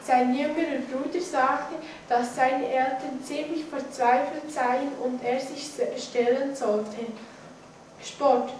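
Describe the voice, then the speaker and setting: A woman's voice: high voice, fairly even intonation, slow pace, darkish tone. A teenage girl reads a news bulletin aloud carefully, sometimes stumbling over words. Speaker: student news presenter. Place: indoor school classroom, seated at a desk. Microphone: distant camera microphone. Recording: echoey classroom, distant mic, faint noise.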